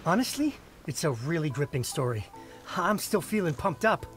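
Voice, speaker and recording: A man playing an excitable young fan in a video game, eager and expressive in his delivery. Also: a little high, moderate pace, faint music behind. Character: excitable young male fan of fantasy novels.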